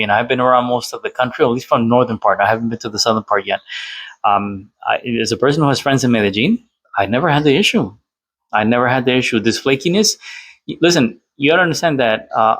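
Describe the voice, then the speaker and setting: A man's voice: mid-range voice, a bit bright, dry recording. A man from Colombia giving casual, opinionated commentary as a channel host, rambling with many fillers and restarts. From Colombia, Cali. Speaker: channel host reacting to a clip.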